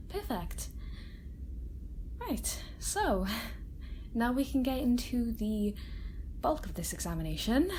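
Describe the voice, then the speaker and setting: Slow, gentle woman acting a kind female doctor. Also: on the high side, slight background noise, heavily acted, poor audio. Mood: reassuring, then calm examining. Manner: reassuring, hesitant, with soft chuckles. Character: kind, gentle female scientist doctor examining an alien patient. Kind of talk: soft-spoken ASMR roleplay. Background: sci-fi facility ambience.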